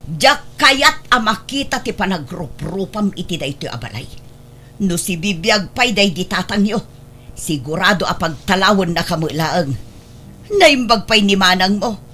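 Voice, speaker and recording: A woman's voice: mid-range voice, animated, a little slow, pushed and bright, slight background noise. A woman playing a stern, reproachful mother in an audio drama, with an Ilocano accent. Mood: bitter, reproachful. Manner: scolding, reproachful. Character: stern, ashamed mother rejecting her pregnant daughter.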